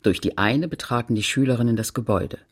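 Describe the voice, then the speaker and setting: A man with a husky, creaky voice reading scripted literary narration in a radio play. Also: medium pitch, quick pace. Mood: measured. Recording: music behind the voice.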